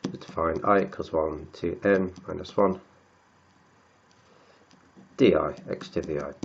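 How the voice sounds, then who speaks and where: A very low-pitched man with a cold, his voice croaky and sniffly as he talks his way through equations. He stops and repeats words while he writes, thinking aloud over a dull, lo-fi recording. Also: a little mellow. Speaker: maths tutor. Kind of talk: working through textbook maths aloud.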